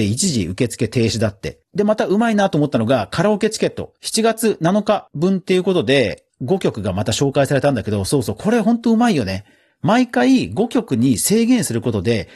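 A man's voice: on the high side, darkish tone, husky. A man hosting a podcast, giving chatty, casual commentary on the news in a conversational way. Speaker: podcast host.

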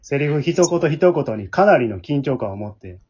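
A man with a Kansai accent moderates a relaxed group conversation. His voice is husky and his pitch moves around a lot, with chuckles along the way. The sound is dull and lo-fi. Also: moderate pace. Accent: Kansai Japanese. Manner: chuckles often while talking. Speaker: host of an amateur radio drama group's talk show. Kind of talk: casual moderated group chat. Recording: dull, lo-fi audio.

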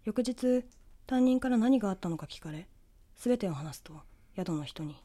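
A woman reading a scripted horror story in the first person, with measured storytelling delivery. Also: medium pitch, expressive, mellow. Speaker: ghost story narrator.

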